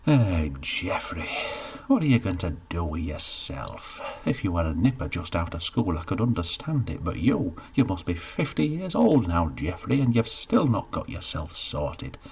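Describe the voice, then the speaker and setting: A man's voice: deep voice, big pitch swings, moderate pace, gravelly, darkish tone, slightly rough, exaggerated voice. A man voicing a bad-tempered baker, blunt and scolding, cursing freely.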